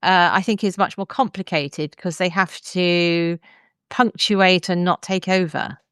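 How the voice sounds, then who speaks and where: A woman's voice: fairly low pitch, steady tone, a little slow, a bit bright, clear, resonant tone, no room sound, clean studio sound. A woman hosting a podcast, talking conversationally as she reviews and discusses. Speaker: podcast host.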